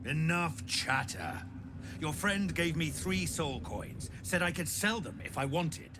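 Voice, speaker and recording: An actor playing a gruff, wry game trader who tells grim tales in a blunt, folksy way. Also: male voice, a little high, very animated, slow. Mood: businesslike, impatient. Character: gruff unhurried male trader, sardonic messenger telling grim soul stories. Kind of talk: video game character dialogue. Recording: constant noisy ambience behind voice.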